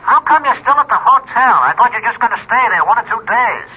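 An actor playing an uneasy colleague in a radio drama, hesitant, heard through a telephone filter. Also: male voice, very high-pitched, lively intonation, quick pace, pushed and bright, breathy edge, highs cut off, full character voice. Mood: uneasy, probing. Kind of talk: 1970s radio drama acting.

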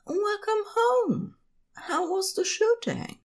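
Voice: extremely high pitch, very animated, slow pace, voice pushed up, exaggerated voice. A narrator voicing a fussy, affectionate male scholar in love, in an audiobook of fan fiction. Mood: warm welcome.

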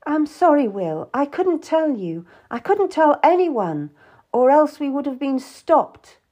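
Woman acting a pleading, distressed woman, high-pitched. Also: very animated, unhurried, lo-fi sound. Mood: apologetic, pleading. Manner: pleading, emotional. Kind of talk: dramatised audiobook dialogue.

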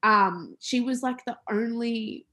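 A woman's voice: fairly high pitch, slow pace, pushed and bright. Hesitant young woman, casual chat. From Australia. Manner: hesitant, many fillers and restarts. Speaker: interviewee. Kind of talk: casual conversation about family history.

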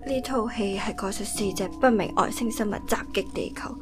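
A woman with a Hong Kong accent playing an excitable, easily scared little sister in a comedic radio drama, with dramatic, exclamatory delivery. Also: medium pitch, close to an everyday voice.

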